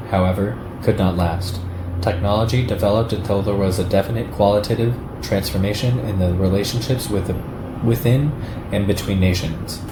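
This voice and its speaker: Male reader, lowish voice, measured and deliberate delivery of political text; echoey, noisy home-style recording. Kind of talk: reading a political essay aloud. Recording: roomy echo, clear background noise.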